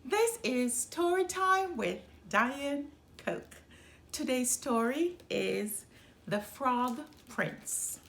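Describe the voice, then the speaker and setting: A woman hosting story time, reading a children's storybook aloud in an expressive narrating style. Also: high-pitched, drawn-out words. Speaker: storytime host.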